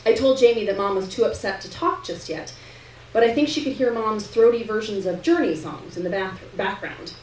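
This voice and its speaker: A woman's voice: medium pitch, moderate pace, darkish tone. Woman reading fiction aloud, roomy and distant. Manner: dramatic delivery, acting out dialogue. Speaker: reader of fiction at a live literary event. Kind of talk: expressive first-person story narration. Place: indoor room at a live reading. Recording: roomy, distant live recording with noise.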